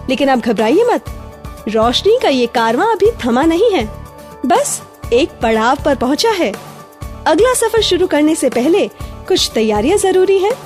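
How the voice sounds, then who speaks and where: A woman's voice: sits high, a little mellow. A woman hosting a radio show with an Indian accent, presenting from a script and addressing listeners directly with playful questions.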